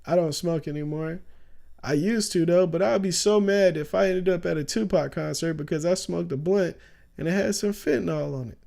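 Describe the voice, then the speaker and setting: A young man talks casually on a stream, loose and full of slang, laughing easily. His voice sits a little high but sounds warm and mellow, with a faint rasp. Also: moderate pace. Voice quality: raspy at times. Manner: loose, slangy, laughs often, says "bro" a lot. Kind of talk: casual livestream commentary.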